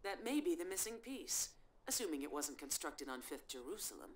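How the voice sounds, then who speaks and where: An actress playing a blunt, shrewd investigator in an English-dubbed video game, matter-of-fact and wry. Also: female voice, low voice, big pitch swings, brisk. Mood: cool, analytical.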